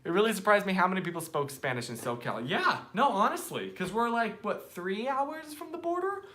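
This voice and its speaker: A man's voice: sits high, very animated, a little fast, bright, forceful tone. A young American man chatting casually and rambling on a live stream from his phone, sitting outdoors at night. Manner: rambling, chatty, reads out viewer comments.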